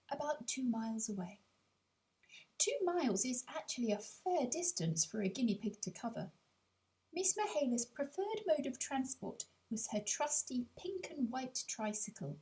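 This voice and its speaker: A woman narrating a children's audiobook, telling the story expressively in a measured way with deliberate pauses. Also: a little high, mellow, low-quality recording. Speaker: author reading their own audiobook.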